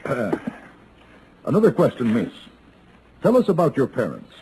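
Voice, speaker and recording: Suave, lively-toned gentleman gunfighter. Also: mid-range voice, measured pace. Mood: brisk, formal. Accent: American. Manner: formal, dry, precise, unruffled. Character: cool, refined, sardonic gentleman gunfighter coaching manners. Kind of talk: old-time radio western acting. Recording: noisy old radio drama recording.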